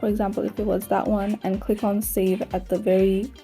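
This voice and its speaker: A woman's voice: mid-range voice, level tone, fairly quick, warm tone, faint music behind. A woman narrating a step-by-step tutorial in a plain, instructional manner. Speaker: tutorial host.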